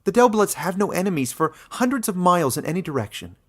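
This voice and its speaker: A man's voice: sits high, sing-song, fast, pitched above the performer's own voice, exaggerated voice. A man voicing an earnest, bewildered young villager in exile, protesting, in a fantasy story. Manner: stammering at times, protesting.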